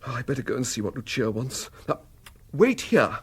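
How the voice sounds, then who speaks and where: An actor playing a fussy, fretful middle-aged bachelor with an upper-class English accent, fluttery and hesitant, in a radio comedy. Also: male voice, mid-range voice, sing-song, brisk, breathy edge, exaggerated voice. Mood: flustered, bossy. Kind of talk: radio comedy drama acting.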